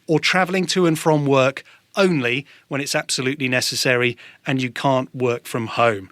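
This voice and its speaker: A man with a British accent giving a measured, formal live news report as a political correspondent. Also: on the high side, fairly bright tone, dry recording.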